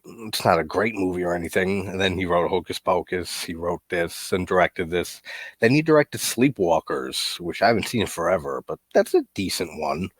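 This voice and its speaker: Stuffy-nosed guy in his 30s with a low voice, chatting loosely about a horror flick, lots of "like"s, dry close sound. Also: no room sound. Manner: rambling, filler-heavy, swears casually. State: congested, getting sick. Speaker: horror film reviewer. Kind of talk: casual movie commentary.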